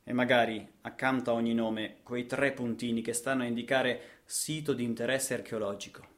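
A man performing a dramatic radio monologue, rhetorical and emphatic, with deliberate pauses and repetitions. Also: medium pitch, steady tone. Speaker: actor and narrator.

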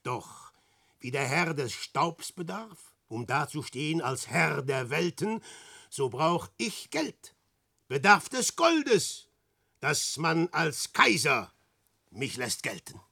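Radio-play lead: a vain, scheming adventurer, slow and gravelly, bright tone, dry studio. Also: male voice, a little high, sing-song, dry recording, heavily acted. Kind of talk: classic radio drama acting.

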